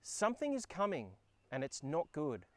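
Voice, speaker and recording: A man's voice: a little high, huge pitch swings, normal speed, fairly bright tone, no room sound. A man voicing an anxious, earnest female lyrebird with a big, emotive voice in a children's storybook. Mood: foreboding. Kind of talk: children's storybook read-aloud.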